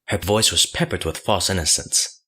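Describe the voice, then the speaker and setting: A man's voice: sits low, normal speed, sharp tone, breathy edge. A man narrating a fan fiction audiobook from a script.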